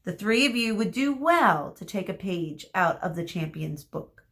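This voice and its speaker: A woman reading a storybook as a theatrical, gleefully cruel female teacher who draws out her words with dramatic pauses. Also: on the low side, expressive, a bit bright. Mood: smug. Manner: drawn-out dramatic pauses, exclaiming.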